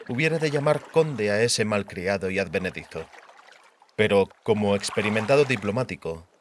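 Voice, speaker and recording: A man's voice: fairly low pitch, a little hiss or hum. A man reading scripted narration of a fantasy novel as an audiobook narrator.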